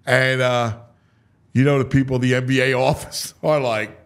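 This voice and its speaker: A man's voice: medium pitch, little pitch movement, moderate pace, clear, resonant tone. A man hosting a casual sports talk show, speaking emphatically and conversationally and repeating phrases for emphasis.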